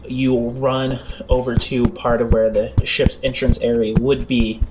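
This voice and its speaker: A man's voice: mid-range voice, flat delivery, measured pace, noisy background. A man working as game master, describing scenes in a tabletop roleplaying game in a conversational but dramatic way. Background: background music.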